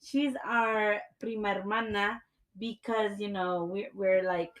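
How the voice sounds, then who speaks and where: A woman's voice: mid-range voice, steady tone, slow pace. A woman in her thirties from Mexico, co-hosting a casual podcast chat and slipping Spanish into her English. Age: 30s. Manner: laughing, mixes Spanish into English. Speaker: podcast co-host.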